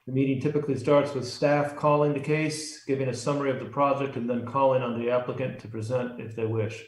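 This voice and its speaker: A man's voice: medium pitch, steady tone, fairly quick. An American man chairing a city commission meeting, formally reading out rules and procedures from a script. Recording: roomy, echoing online meeting audio.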